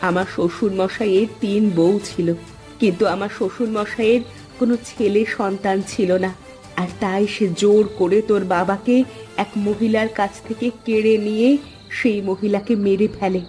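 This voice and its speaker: Cartoon mother actress: longing, insistent, emotional, average female pitch. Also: steady tone, normal speed, dark tone, faint music behind, close to an everyday voice. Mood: pleading, insistent. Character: anguished mother longing for her lost daughters. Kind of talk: Bengali animated moral-story dubbing.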